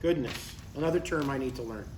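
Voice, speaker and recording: A man's voice: mid-range voice, fairly quick, a little mellow, close to an everyday voice. A man in his sixties playing an earnest, stubborn father who gets defensive, in a live comedy table read. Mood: upbeat, earnest. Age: 60s. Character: earnest, stubborn sixty-two-year-old father chasing a writing career. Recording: echoey live room, distant mic, faint noise.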